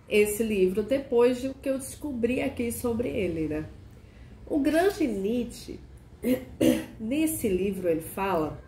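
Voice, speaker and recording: A woman hosting a casual video chat. She sounds nasal and hoarse from a cold and keeps asking the viewer questions. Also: fairly high pitch, a little mellow. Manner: chatty, asks the viewer many questions. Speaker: archetype consultant and channel host. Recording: echoey room with faint noise.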